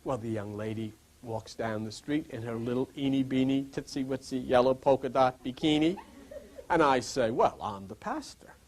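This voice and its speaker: A man's voice: medium pitch, normal speed. A male pastor giving an informal sermon, reading scripture and pressing listeners with rhetorical questions.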